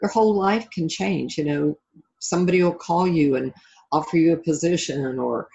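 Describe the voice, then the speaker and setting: A woman's voice: low voice, moderate pace, vocal fry, a little mellow, lo-fi sound. A middle-aged woman being interviewed as a life coach and author, talking reflectively and conversationally in an encouraging way.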